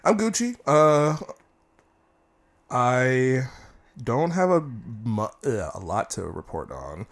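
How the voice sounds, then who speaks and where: A man co-hosting a podcast, telling long stories in casual, playful talk with plenty of swearing. His voice sits at a middle pitch but moves around a lot as he acts out the people in his stories. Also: big pitch swings, slow. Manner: storytelling, profane, playful. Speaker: podcast co-host. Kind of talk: casual chat.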